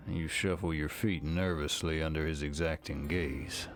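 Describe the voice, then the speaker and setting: A man's voice: extremely low pitch, steady tone, a little slow. A man reading scripted second-person narration for a visual novel story game. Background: faint music.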